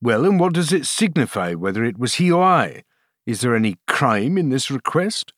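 A man's voice: mid-range voice, very animated, normal speed, fairly bright tone, no room sound, clean studio sound, pitched above the performer's own voice. A man voicing a cold, cruel king with pompous, commanding, clipped speech for a storybook. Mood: imperious.

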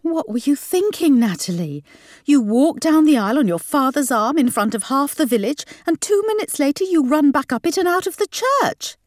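A woman voicing an outraged, scolding middle-aged mother in an audiobook, shrill and dramatic. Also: very animated, moderate pace, darkish tone, no echo, studio quality. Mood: wailing.

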